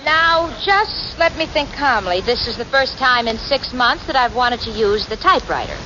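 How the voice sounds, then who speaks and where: A sardonic, sensible young woman played by an actress speaks in a high, swooping voice with long-suffering patience. It is 1940s radio comedy on a muffled, noisy recording. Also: lively intonation, moderate pace, close to an everyday voice. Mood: exasperated. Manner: wry, exasperated, crisply articulate. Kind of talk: 1940s radio sitcom acting and narration.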